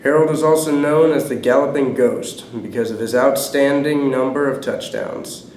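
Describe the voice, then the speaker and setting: A man's voice: mid-range voice, fairly even intonation, normal speed, darkish tone. A teenage male reading scripted sports news as a student presenter, recorded in an echoey room with noise. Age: teens.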